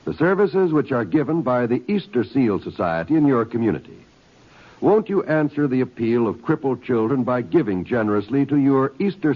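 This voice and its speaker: A man's voice: mid-range voice, slightly rough. A male announcer reading a formal, earnest charity appeal in 1950s radio style. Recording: muffled old radio recording.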